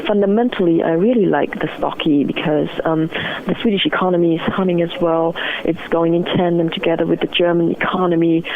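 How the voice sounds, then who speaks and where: Woman on a tinny phone call doing market analysis, gravelly fry in her voice, explains stuff step by step. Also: on the low side, fairly even intonation, a little fast. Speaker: currency strategist, interview guest. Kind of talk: analytical market commentary in an interview. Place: phone interview. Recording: muffled narrow phone line.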